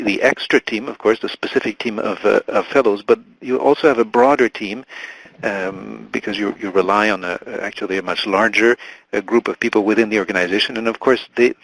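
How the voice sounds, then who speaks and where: A man giving simultaneous interpretation in a webinar, halting and full of fillers and restarts. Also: fairly low pitch, fairly bright tone, dry recording, poor audio.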